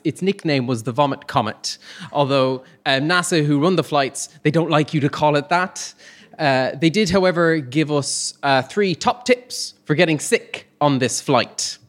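A man telling a humorous personal anecdote live on stage, in a conversational, hesitant manner with comic pauses. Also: a little high. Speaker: storyteller on stage.

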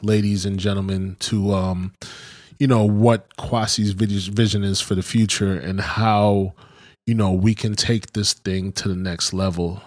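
Podcast cohost guy, lowish voice, earnest, says you know constantly, dry close mic. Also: darkish tone, dry recording. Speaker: podcast co-host. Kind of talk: casual podcast talk.

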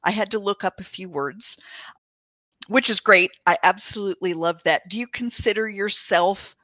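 A woman's voice: medium pitch, moderate pace, sharp tone, dry recording. A woman hosting an interview, chatting eagerly with a fellow author and agreeing often. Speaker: podcast host and fellow author. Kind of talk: casual interview chat.

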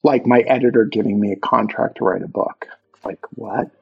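A man from Brooklyn with a Brooklyn accent, talking candidly and reflectively as a guest author in an interview, pausing for emphasis. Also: lowish voice, creaky, slightly rough, highs cut off, soft background music. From Brooklyn, New York.

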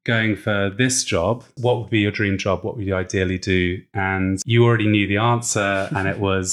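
Chatty low-voiced podcast host. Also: male voice, fairly bright tone. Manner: enthusiastic, chatty with fillers. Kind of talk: conversational interview.

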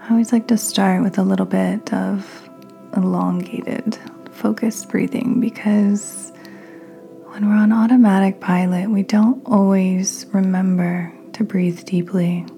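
Woman, meditation guide, breathy near-whisper, soothing, dark relaxed tone, even pitch, music behind. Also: measured pace. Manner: gentle, soothing. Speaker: podcast host, meditation guide. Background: soft music.

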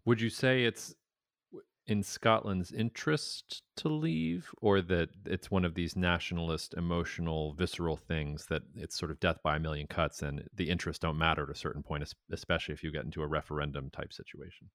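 A man's voice: low voice, brisk, a bit bright, dry recording, clean studio sound. A man hosting a podcast interview, asking thoughtful, searching questions. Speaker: podcast host.

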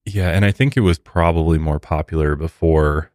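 An extremely deep male voice, captured very dry without room echo. He speaks as a podcast co-host, offering casual, conversational commentary. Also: steady tone, moderate pace, a little mellow.

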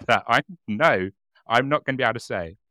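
Bright-voiced giggly man podcast host. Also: medium pitch, no room sound. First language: English. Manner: giggly, self-deprecating, reads narration. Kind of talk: casual chat and reading a case.

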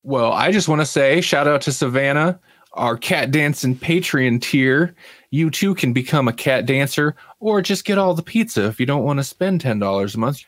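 A man's voice: mid-range voice, animated, normal speed, a bit bright, no echo, clean studio sound. A man hosting a podcast in casual, laid-back, informal chat. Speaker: podcast host.

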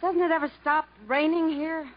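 A woman's voice: sits high, level tone, normal speed, close to an everyday voice. An actress playing a poised, world-weary young woman in a 1950s radio drama. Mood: aloof, sullen. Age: young adult. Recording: dull, noisy vintage radio recording.

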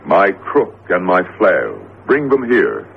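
A man's voice: low voice, huge pitch swings, slow, creaky, husky, exaggerated voice. An actor in a radio drama playing a man possessed by a commanding ancient Pharaoh, speaking in solemn, archaic orders. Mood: ominous, commanding. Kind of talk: vintage radio drama acting. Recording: tinny, narrowband old radio broadcast, faint noise.

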